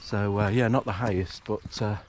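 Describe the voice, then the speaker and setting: Croaky-voiced man on a hike. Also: lowish voice, normal speed, slight background noise. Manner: relaxed, hesitant with many fillers. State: croaky, just woken up. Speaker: hiking vlogger. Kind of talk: casual walking commentary. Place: outdoors in countryside on a walk. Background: rural outdoor sounds, animals.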